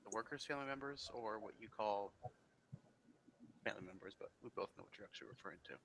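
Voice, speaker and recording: A man talks quickly and casually as he runs a roleplay game. His voice is captured very quietly, with faint background noise and clicking. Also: on the low side, gravelly, poor audio. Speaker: game master.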